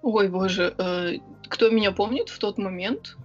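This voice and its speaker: A woman's voice: mid-range voice, a little slow, lo-fi sound, faint music behind. A young woman, a writer and club organizer, talking casually as an interview guest, chatty and full of hesitation sounds. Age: young adult.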